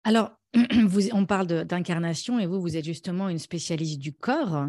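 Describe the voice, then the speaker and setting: A woman's voice: fairly low pitch, moderate pace, dark tone, no echo, studio quality. A woman interviewing a guest on a podcast in an attentive, conversational and approving manner.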